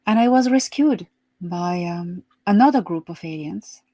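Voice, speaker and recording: Soft-spoken French woman, low voice. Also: lively intonation, a little slow, dry recording. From France. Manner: soft-spoken, hesitant with many ums, laughs easily. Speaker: interview guest. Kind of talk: remote interview, storytelling.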